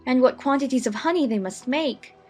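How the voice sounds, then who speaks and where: A curious, polite young girl acted by a woman in a storybook dramatization. Her voice is fairly high, and she wonders aloud to herself with a touch of shyness, over soft music. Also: brisk, slightly rough. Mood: wondering. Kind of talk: dramatized audiobook acting.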